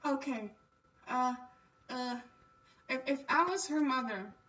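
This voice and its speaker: A woman's voice: high voice, slow, pushed and bright, far from the mic, dull audio. A woman livestreaming a game, chatting casually and playfully with her viewers. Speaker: livestreamer playing a visual novel. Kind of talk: casual chat while gaming.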